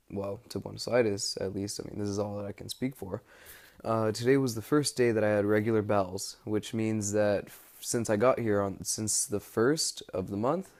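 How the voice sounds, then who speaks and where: A young American man recording a casual, rambling spoken diary, pausing often mid-thought as he searches for words. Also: on the low side, darkish tone. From United States. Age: young adult. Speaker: study-abroad student keeping an audio log.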